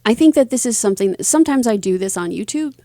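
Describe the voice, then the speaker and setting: A woman's voice: a little high, expressive, quick pace, warm tone, no echo, studio quality. A woman speaking as an interview guest, telling her stories casually with a self-deprecating, lightly giggly manner. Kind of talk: casual conversational storytelling.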